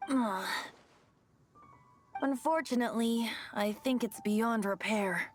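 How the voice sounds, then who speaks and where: A woman's voice: a little high, slow, faint music behind. A woman voicing a playful, theatrical youthful male bard in an anime video game, lilting and boastful. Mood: subdued.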